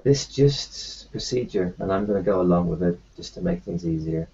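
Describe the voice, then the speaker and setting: A man roleplaying his character in a tabletop game, talking in a calm, reassuring, matter-of-fact way. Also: low-pitched, normal speed, gravelly, muffled recording, slight background noise.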